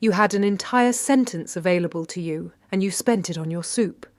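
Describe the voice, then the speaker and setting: Actress as british lady sleuth from a 30s radio mystery, measured, dry wit, sharp and composed. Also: fairly low pitch, dry recording, lightly acted. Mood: wry, probing. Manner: measured, wry, precise. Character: shrewd, composed 1930s London lady detective. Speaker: narrator and lead character. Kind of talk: first-person detective audio drama narration.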